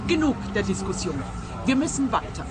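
An actress playing a haughty, gushing art expert in a radio play, pompous and condescending. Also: female voice, mid-range voice, very animated, moderate pace, background noise, close to an everyday voice. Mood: fervent.

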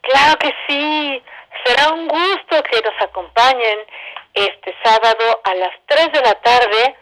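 A woman with a Mexican accent and a slightly high voice. She talks in a gracious, conversational way as a theatre director being interviewed on radio. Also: takes it slowly, fairly bright tone, rough recording. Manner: courteous, gracious.

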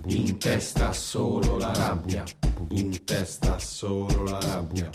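Low, slow brooding Roman man over loud beats. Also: flat delivery. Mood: brooding anger. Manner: repetitive, chant-like. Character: brooding, jealous man obsessed with betrayal. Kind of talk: rhythmic spoken-word over music, radio drama. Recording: echoey voice under loud beatbox music.

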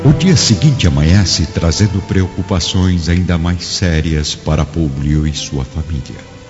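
A man's voice: sits low, unhurried. A man narrating a radio drama from a script in a solemn, theatrical manner. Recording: reverberant, dull lo-fi audio over music.

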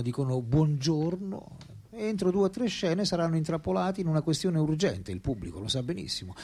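An actor playing an ageing, self-absorbed philosopher in a radio drama, speaking lines in a theatrical, deliberate, emphatic way. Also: male voice, medium pitch, warm tone, breathy edge. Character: famous philosopher as old man, wry, intense, self-absorbed.

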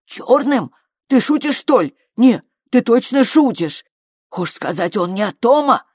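A woman's voice: medium pitch, normal speed, darkish tone, no room sound, studio quality, voice pushed up, close to an everyday voice. A woman voicing a coarse working-class middle-aged mother with a scolding, colloquial manner in an audiobook. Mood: shocked disbelief. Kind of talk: audiobook character acting.

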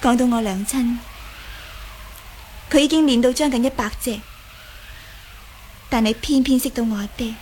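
A woman's voice: a little high, normal speed, long pauses, a little mellow, natural voice. An actress playing a bitter, defiant young martial arts woman in a Cantonese radio drama. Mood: proud, bitter. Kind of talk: Cantonese audio drama acting. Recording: faint background noise.

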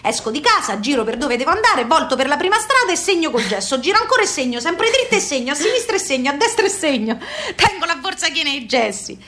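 High-voiced Southern Italian emigrant mother. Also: sing-song, fast, background noise. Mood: earnest, affectionate. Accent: Neapolitan-influenced Southern Italian. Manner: colloquial, repetitive, incantatory phrasing. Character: emigrant Southern Italian mother, plain-spoken, nostalgic, tough. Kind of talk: theatrical radio monologue, intimate storytelling.

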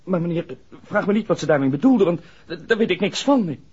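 A man's voice: sits high, lively intonation, brisk, darkish tone, husky, full character voice. An actor playing an anxious, earnest young man who speaks hesitantly and stammers, in a 1960s Dutch radio drama. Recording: dull 1960s radio recording.

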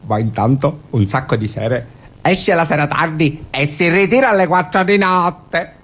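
A woman's voice: sits low, unhurried, slightly rough. A woman acting a confiding character in a theatrical monologue.

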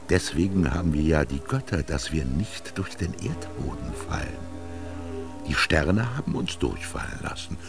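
An actor playing an old Egyptian master scribe in a radio drama, solemn and pompous, declaiming with drawn-out words. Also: male voice, a bass voice, vocal fry, exaggerated voice. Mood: ardent, rhapsodic. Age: older. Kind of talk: German radio drama monologue. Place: studio.

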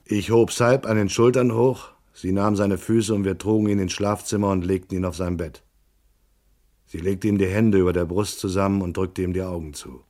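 An actor plays a tough male private eye telling his own story in a radio crime play. His voice is fairly low. The delivery is terse, laconic and matter-of-fact, in classic hard-boiled style. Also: steady tone, normal speed, mellow, lightly acted. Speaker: first-person narrator.

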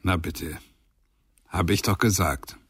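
Detached knowing observer, deep, creaky, unhurried, smug, radio drama. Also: male voice, fairly even intonation, a bit bright, no echo, clean studio sound, heavily acted. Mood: dry, smug. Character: smug, knowing, dryly detached male commentator.

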